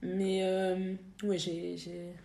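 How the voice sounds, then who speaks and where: A woman's voice: lowish voice, monotone, very slow, vocal fry, dark tone. A young woman, a guest talking casually and hesitantly, with many fillers and restarts. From Belgium. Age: young adult. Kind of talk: casual interview conversation. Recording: echoey room.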